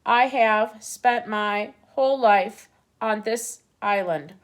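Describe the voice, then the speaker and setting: A polite female robot from a storybook read-aloud, spacing out each word slowly and evenly, as a woman voices her. She stays calm even when the animals panic. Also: on the high side. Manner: slow, even, deliberate.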